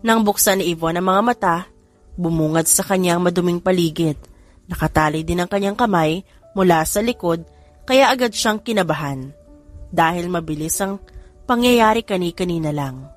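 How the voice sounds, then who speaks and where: A woman's voice: on the low side, moderate pace, soft background music. A woman reading scripted third-person narration for a romantic drama audiobook.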